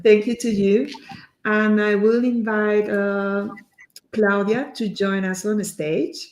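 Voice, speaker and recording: Female stream host, halting and rambling delivery, echoey room sound. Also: medium pitch, fairly even intonation, a little mellow, a little hiss or hum. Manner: halting, loosely built phrasing. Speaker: host of a livestream. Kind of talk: live stream interview.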